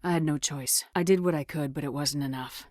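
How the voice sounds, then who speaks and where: Game lead: a woman playing a tough female commander, lower register, firm and no-nonsense, very dry studio sound. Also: brisk, a little mellow, dry recording, natural voice. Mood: sober, dutiful. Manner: firm, clipped, authoritative. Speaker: video game voice actor. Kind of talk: video game dialogue acting.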